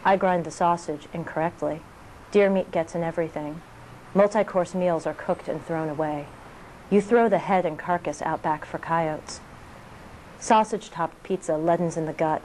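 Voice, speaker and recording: Low-voiced woman reading poetry. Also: normal speed, a little mellow, a little hiss or hum, low-quality recording. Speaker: poet.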